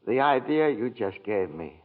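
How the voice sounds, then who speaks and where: An older actor playing a gentle, fussy male angel with quaint old-fashioned phrasing, in 1940s radio acting on an old broadcast. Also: fairly low pitch, big pitch swings, measured pace, narrow, tinny audio. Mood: earnest.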